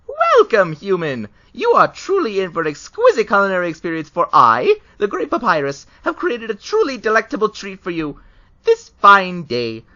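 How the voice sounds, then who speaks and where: A woman voicing a vain, excitable, theatrical male skeleton in a comic dub, with grand declamatory delivery. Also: mid-range voice, no echo, dull audio. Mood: boastful, grand. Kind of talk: animated comic dub acting.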